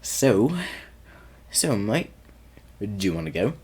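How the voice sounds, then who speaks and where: A man playing an easygoing, teasing young guy sweet on his friend, talking casually and haltingly in an audio roleplay. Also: on the low side, sing-song, darkish tone, slightly rough, exaggerated voice. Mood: teasing, nervous. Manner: halting and stammering, chuckling, casual slangy speech.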